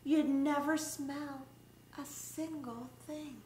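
A middle-aged woman with a fairly high voice reads a children's picture book aloud like a teacher. She goes extremely slowly and expressively, stretching out words for young listeners. The room is echoey, recorded from a short distance. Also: steady tone, darkish tone. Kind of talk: children's story time read-aloud. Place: small indoor room set up as a classroom. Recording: roomy echo, background room noise.

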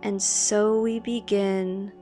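A woman narrating a guided meditation with affirmations in a calm, measured way, leaving long pauses between phrases. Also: medium pitch. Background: meditation music.

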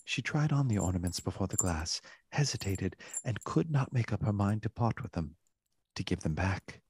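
A narrator with a husky voice, reading a literary tale as scripted narration in a radio play. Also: sits low, animated, moderate pace, darkish tone, no echo, full character voice. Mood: measured.

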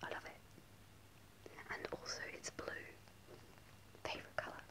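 A young woman telling a personal story in a soft, hesitant ASMR whisper, close to the camera in a small room. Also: takes it slowly, poor audio. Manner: soft unvoiced whisper, hesitant with many ums. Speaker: ASMR creator. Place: small indoor room before a starry backdrop. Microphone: close to a camera at face level.

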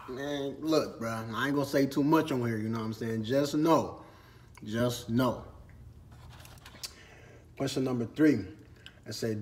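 A man's voice: mid-range voice, fairly bright tone. Laid-back slangy young man vlogging. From Minnesota. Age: 20s. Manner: laid-back slangy chat, eating while talking. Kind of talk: casual Q&A vlog. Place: storage room with shelves and ladders, indoors.

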